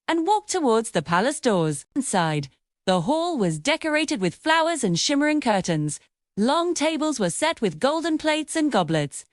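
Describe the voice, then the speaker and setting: A woman narrating a fairy tale for children in a scripted, expressive, sing-song storytelling manner. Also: fairly high pitch, no room sound, studio quality.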